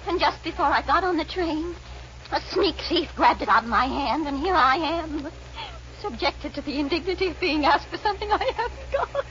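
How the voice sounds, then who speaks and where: Old grandma, high-pitched, theatrical, coy, feisty, folksy, 1940s radio Western, heavy hiss. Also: female voice, lively intonation, normal speed, fairly bright tone. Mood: wounded, huffy. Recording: noisy, dull 1940s radio recording.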